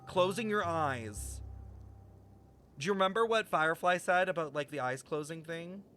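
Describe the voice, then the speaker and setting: A man livestreaming a game, reading its text aloud and thinking through it in an animated, puzzled way. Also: fairly high pitch, normal speed. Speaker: game streamer. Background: game music.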